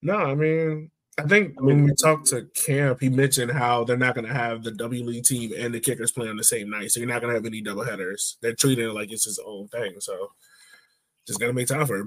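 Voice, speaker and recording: A man's voice: medium pitch, poor audio. A man hosting a sports podcast, chatting casually in a folksy, colloquial way. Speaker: podcast host.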